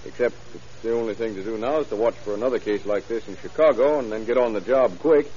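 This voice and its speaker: Actor as low-voiced dogged police detective. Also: male voice, quick pace, mellow, natural voice. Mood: earnest, determined. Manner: earnest, clipped cop slang. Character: dogged, hunch-driven male police lieutenant. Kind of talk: 1930s-40s crime radio drama acting. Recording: muffled old radio recording, faint hiss.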